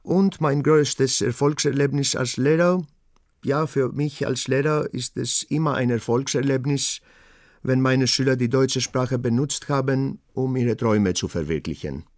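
Slow, measured Greek-accented man. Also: mid-range voice, a little mellow, dry recording, clean studio sound. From Greece. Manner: measured, pausing often with "äh" fillers. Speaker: German teacher. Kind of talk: personal self-portrait talk.

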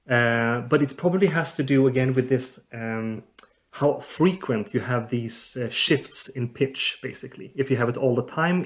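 A man from southern Sweden answers interview questions as a linguistics expert, pausing often to find the words. His voice sits at an ordinary middle pitch, and he reasons through each idea carefully. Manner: thoughtful, hesitant with many fillers. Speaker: linguist guest.

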